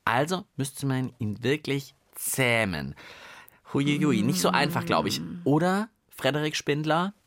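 A man's voice: mid-range voice, lively intonation, a little slow, a bit bright, no echo. A man co-hosting a children's science show, chatting in a casual, playful way in a studio. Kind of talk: casual playful banter with co-host. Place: radio studio.

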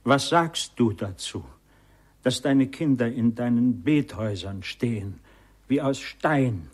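Despairing villager, radio drama, slow, impassioned. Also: male voice, mid-range voice, husky. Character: despairing villager accusing God.

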